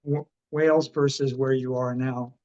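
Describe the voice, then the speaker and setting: A man from Canada hosting a podcast interview, relaxed and halting with many fillers. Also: medium pitch. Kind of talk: casual interview. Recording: dull, muffled sound.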